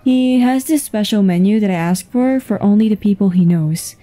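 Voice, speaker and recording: A woman playing a flirtatious, easygoing businesswoman on a date, in a casual, intimate ASMR roleplay with a soft voice. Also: medium pitch, lightly acted. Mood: relaxed, chatty. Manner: unhurried, giggly, casual. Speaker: audio roleplay voice actor. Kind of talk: intimate ASMR roleplay acting, one-sided conversation. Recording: music behind the voice.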